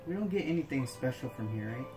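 Very low-voiced woman, laid-back commentary. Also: animated, quick pace. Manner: laid-back, musing, laughs often. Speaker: let's play host. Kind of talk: casual gameplay commentary. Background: game music.

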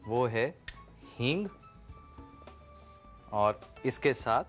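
A middle-aged man demonstrates a recipe in a TV studio kitchen, speaking on a lapel mic in a relaxed, step-by-step way. His voice sits at an ordinary pitch for a man, and faint music runs behind him. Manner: relaxed, explaining step by step with pauses. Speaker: TV chef and host. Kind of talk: cooking show demonstration with chat.

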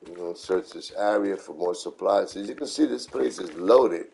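Middle aged new guy, lowish voice, chatting thru a game on stream, takes his time and repeats stuff. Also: creaky, a little mellow, breathy edge. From New York City, United States. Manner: unhurried, repeats himself, instructive. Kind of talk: casual live gameplay commentary.

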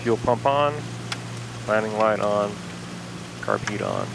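A man hosting a flight simulator video, calmly talking through each step aloud as he flies from a home cockpit, speaking into an aviation headset. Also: lowish voice, slow, creaky, noisy background. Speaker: flight sim video host. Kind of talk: casual instructional narration while flying.

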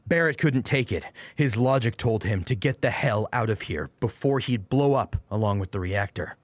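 A man's voice: fairly low pitch, lively intonation, moderate pace, no room sound. A man reading a dramatic action story as an audiobook narrator. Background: music under the voice.

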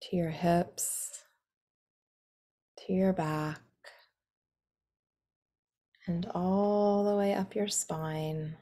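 A woman guides a meditation at a very slow, unhurried pace. Her voice is low and mellow, with soothing instructions that sometimes soften into breathy near-whispers. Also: fairly even intonation.

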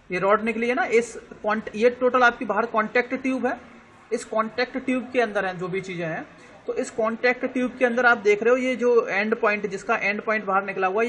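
Indian man teaching an online class, high bright voice, patient, spells terms out letter by letter. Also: low-quality recording. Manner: explains slowly, spells words out letter by letter, checks understanding often.

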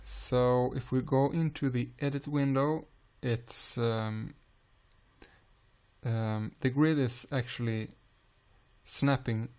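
A man with a Swedish accent calmly explaining music software in a screen-recorded tutorial, pausing often with "uh". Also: mid-range voice. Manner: calm, unhurried explaining with frequent "uh" pauses.

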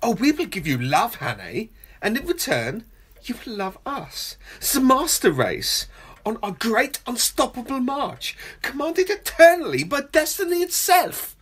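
Woman playing a very husky, suave villainous professor. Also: fairly high pitch, very animated, unhurried, heavily acted. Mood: mocking, grandiose. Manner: theatrical, mock-courteous, pompous. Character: suave, gloating male professor, secretly a fanatical foreign spy. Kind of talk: homemade radio play acting.

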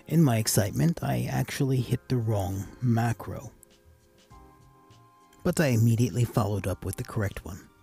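A man with a gravelly, husky voice giving a casual, explanatory tutorial voice-over as the host of a hobby channel. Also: fairly low pitch, dark tone. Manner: casual, addresses viewers as guys.

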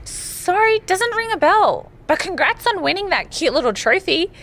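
A woman's voice: extremely high pitch, sing-song, normal speed, fairly bright tone, heavily acted. An actress voicing a catty, condescending female wrestler who mocks her rival in a video game. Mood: mocking. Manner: mocking, sneering.

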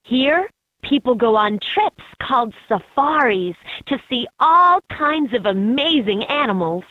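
A woman reading scripted educational narration for a children's game in an expressive, instructive way. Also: a little high, a little slow, no echo. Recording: slightly dull, lo-fi game audio.

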